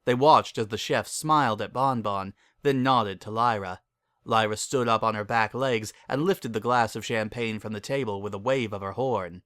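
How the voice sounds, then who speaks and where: A man's voice: lowish voice, normal speed, a bit bright, no room sound, studio quality. A man narrating a story as a scripted dramatic reading.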